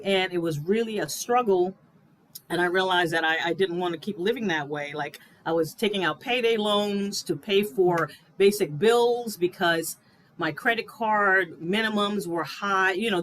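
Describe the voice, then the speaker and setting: A woman's voice: lowish voice, normal speed, a bit bright. New woman telling her story with a faint hiss. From New York. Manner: earnest, laughs often, many fillers. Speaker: guest, wealth coach. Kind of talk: casual interview storytelling.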